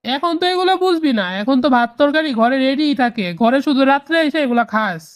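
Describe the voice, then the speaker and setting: A woman's voice: high-pitched, fast talker, no room sound, studio quality, natural voice. A woman voicing a worried, nagging mother of a grown son in a cartoon, with Bangladeshi speech. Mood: reproachful. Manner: nagging, admonishing. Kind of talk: cartoon dialogue.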